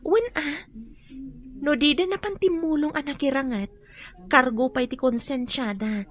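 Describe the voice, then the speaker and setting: A woman's voice: sits high, moderate pace, natural voice. A woman acting in an Ilocano radio drama as a worried young student from a feuding clan, earnest and anxious.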